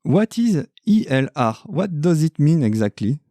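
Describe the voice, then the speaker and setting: A man's voice: on the high side, very animated, unhurried, dark tone, no echo, clean studio sound. A man with a French accent hosting a podcast interview in English, hesitant with many fillers. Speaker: podcast host. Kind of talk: casual interview.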